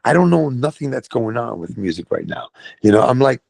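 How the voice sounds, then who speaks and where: A middle-aged man from Brooklyn with a New York accent, telling profane, animated stories as a guest on a podcast. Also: medium pitch, brisk, gravelly, dry recording. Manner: profane, animated storytelling with voiced quotes. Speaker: guest, metal vocalist. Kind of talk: casual storytelling.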